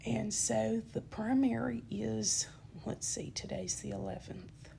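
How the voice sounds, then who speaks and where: A young woman with a dry throat gives an indignant, emphatic campaign statement, often pausing with ums and correcting herself. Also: a little high, steady tone, creaky, warm tone, slight background noise. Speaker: channel host giving a campaign statement. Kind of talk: unscripted spoken statement to camera.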